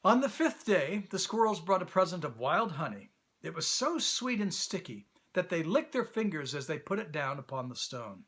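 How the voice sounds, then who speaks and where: Male storybook narrator: bright, high voice with very lively intonation, reading for children. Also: normal speed, husky.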